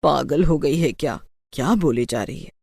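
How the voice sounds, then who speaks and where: A woman's voice: low voice, normal speed, vocal fry, darkish tone, slightly rough, no room sound, voice pushed down, heavily acted. A woman voicing a firm, scolding older village mother in a moral story. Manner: chiding, firm. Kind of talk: dramatised Hindi moral story acting.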